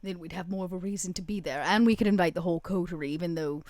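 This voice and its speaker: A woman roleplaying a shrewd, refined, wry female vampire in a tabletop game, with deliberate, musing phrasing. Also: on the low side, darkish tone, no echo, natural voice. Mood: shrewd, scheming.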